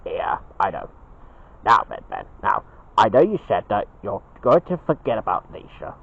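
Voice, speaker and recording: A man's voice: on the low side, very animated, moderate pace, vocal fry, slightly rough, voice pushed down, exaggerated voice. A slightly muffled home recording of a man playing a cartoon dad bear. He is patient and encouraging, murmuring along with hums and hesitations, his intonation moving freely. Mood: gentle, forgiving. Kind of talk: homemade cartoon dialogue.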